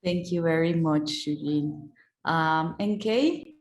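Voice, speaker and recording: Low, unhurried woman from Mexico hosting a panel, echoey room. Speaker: panel moderator. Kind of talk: online panel discussion.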